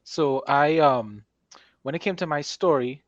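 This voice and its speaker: A man speaking as an author and podcast co-host in a conversational, measured, explanatory way. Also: a little high, no echo. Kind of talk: conversational interview discussion. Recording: dry, slightly dull microphone sound.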